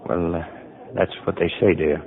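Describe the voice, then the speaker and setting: An actor playing a gentle, apologetic husband in a radio drama, mild and hesitant as he soothes his wife. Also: low voice, level tone, moderate pace, creaky, a faint crowd. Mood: sheepish, gentle. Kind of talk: mid-century American radio drama acting. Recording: muffled old radio recording.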